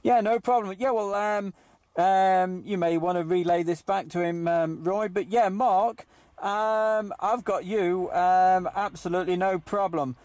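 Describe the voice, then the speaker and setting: Guy controlling a cb radio net, higher voice, hesitant, really dry sound. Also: a little slow, a little mellow, no room sound. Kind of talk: amateur CB radio chat.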